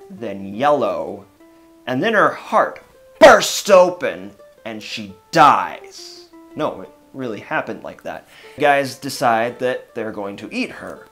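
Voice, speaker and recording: A storyteller retelling dark fairytales in a dramatic yet casual way, with wry conversational asides. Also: medium pitch.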